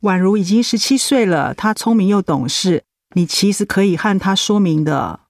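An actress playing a polite, reassuring schoolteacher in a radio drama, with an explanatory manner. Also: female voice, mid-range voice, moderate pace, a little mellow, dry recording, clean studio sound, lightly acted.

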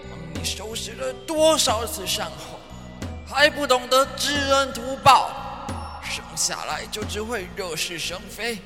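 An actor playing a stern middle-aged male priest who scolds his son, moving from low weary tones to shouting, in an audio drama. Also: animated, unhurried. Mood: scornful. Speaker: priest character. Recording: reverb with faint music.

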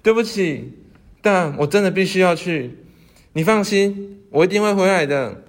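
A man's voice: high voice, lively intonation, normal speed, voice pushed up. A teenage boy playing a sincere, devoted young scholar in a radio drama. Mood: reassuring. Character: earnest, devoted nineteen-year-old scholar in love.

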